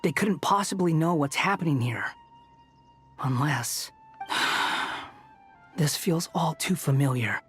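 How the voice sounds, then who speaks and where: Low, slow, brooding woman. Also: expressive, a bit bright, full character voice. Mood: wary, pensive. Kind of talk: video game dialogue. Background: background music.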